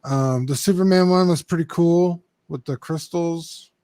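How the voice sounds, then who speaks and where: A young man talks loosely on a hobby podcast, filling gaps with ums and dropping the odd curse. His voice sits on the higher side for a man. The sound is close and dry, with no room around it. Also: measured pace, dark tone, dry recording. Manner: loose, rambling, many ums and swears. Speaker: co-host. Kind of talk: casual podcast chat.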